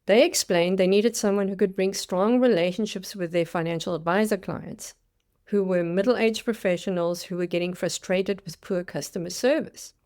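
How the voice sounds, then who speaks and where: A woman's voice: medium pitch, fairly even intonation, moderate pace, a little mellow. South African woman, 60s, career coach delivering a dramatic scripted personal story. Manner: dramatic storytelling with acted-out quotes.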